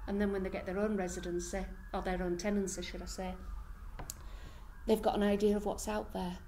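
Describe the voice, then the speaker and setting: Earnest middle-aged woman, mellow voice. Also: medium pitch, level tone, fairly quick, a little hiss or hum. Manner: earnest, speaks with conviction and some restarts. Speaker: independent living skills worker at a hostel. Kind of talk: interview talk.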